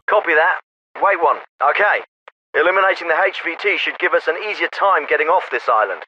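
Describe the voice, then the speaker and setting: A man playing a brisk, stern special forces captain in a video game, giving clipped, authoritative orders with dry sarcasm, sometimes through a radio filter. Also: high voice, a bit bright, no echo. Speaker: military squad commander. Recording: radio-filtered, band-limited clips with faint static.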